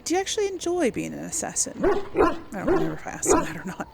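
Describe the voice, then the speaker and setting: A woman's voice: very high-pitched, very animated, fast talker, heavy background noise. A woman casually commenting on and role-playing through a video game, musing in a hesitant way. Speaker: gaming video host.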